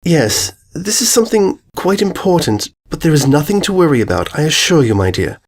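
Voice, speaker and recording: A man's voice: on the high side, very animated, brisk, voice pushed up. A man voicing a refined female pony, a motherly older sister who speaks in a proper, soothing, cooing way in a storybook reading. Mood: reassuring.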